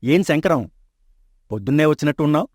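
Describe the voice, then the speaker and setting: A man's voice: fairly high pitch, brisk, no echo. A kindly rich old merchant father. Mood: calm. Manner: calm, fatherly and assured. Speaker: shop owner. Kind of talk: animated storybook dub. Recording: dry close studio mic.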